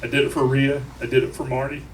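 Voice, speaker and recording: A grieving man delivers an emotional eulogy to a crowd, speaking deliberately, with profanity and wry humor. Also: mid-range voice, little pitch movement. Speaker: eulogist at a memorial. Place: crowded bar at a memorial. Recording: noisy live room, distant mic.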